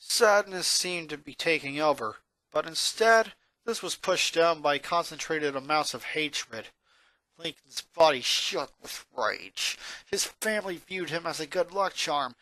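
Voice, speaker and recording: Bright, higher-voiced man narrating a story. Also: dry recording, rough recording. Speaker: narrator, fan fiction reader.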